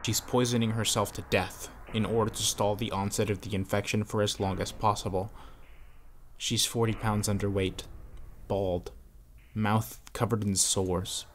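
A man telling a horror story in conversational scripted narration. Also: fairly low pitch. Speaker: narrator of a horror series explainer video.

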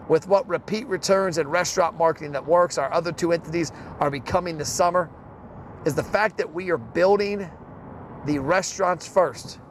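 A man's voice: high voice, slight background noise. Fired-up man talking in a car. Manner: blunt, salty, fired-up. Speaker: podcast host. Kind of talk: casual solo podcast rant.

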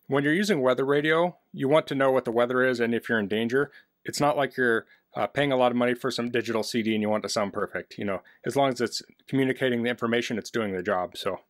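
A man talks through a hands-on product demonstration with a mid-pitched voice. He is plain-spoken and practical, explaining things casually, and the indoor recording is fairly dry. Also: no room sound. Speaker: product reviewer. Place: basement, indoors.

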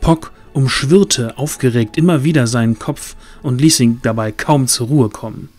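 A man's voice: mid-range voice, moderate pace, a little mellow, soft background music. A man narrating a scripted fantasy audio drama in a dramatic storytelling manner.

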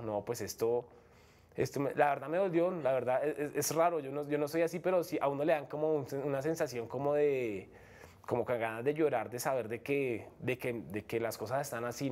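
A man's voice: mid-range voice, a little fast, a little mellow. A young man from Colombia with a Bogotá accent, an MMA fighter speaking frankly as a guest in a studio interview, full of fillers and restarts. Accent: Bogotá Colombian Spanish. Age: young adult. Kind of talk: casual interview talk. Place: TV studio set.

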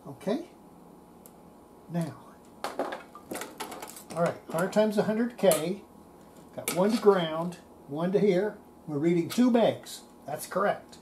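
A woman's voice: fairly low pitch, animated, slow pace, pauses often, warm tone. An older woman giving casual hands-on commentary at a workbench as a radio restorer, muttering to herself while working. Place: cluttered indoor electronics workbench. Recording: noisy workshop room recording.

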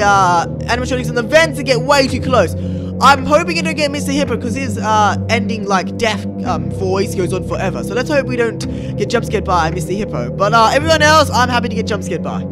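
Young man, extremely high voice, excitable game commentator, music behind. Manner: excitable, exclaiming, repeats words when panicked. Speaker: gaming video host. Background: game music and sounds.

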